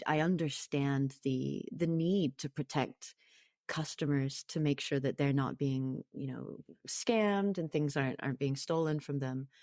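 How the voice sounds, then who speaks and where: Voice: mid-range voice, darkish tone, dry recording, studio quality. A podcast guest talking conversationally in an interview, reflective but emphatic.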